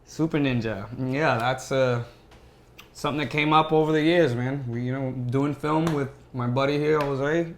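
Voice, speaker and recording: A man's voice: medium pitch, steady tone, normal speed. A young adult man talking casually as a guest on a podcast. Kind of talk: casual chat. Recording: faint background noise and room ambience.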